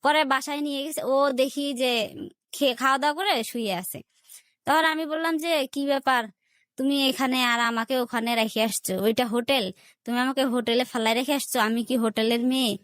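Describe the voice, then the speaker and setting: A woman's voice: high-pitched, fairly quick, fairly bright tone, no echo, studio quality. A woman with a Bangladeshi accent telling a personal life story as an interview guest, relaying remembered conversations in quoted dialogue. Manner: recounts events in quoted back-and-forth dialogue.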